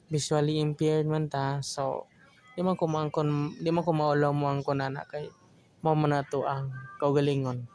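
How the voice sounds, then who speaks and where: A man's voice: on the high side, a little mellow. A man with a Filipino accent, hosting an audio drama channel in casual, joking, rambling chat. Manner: joking, colloquial.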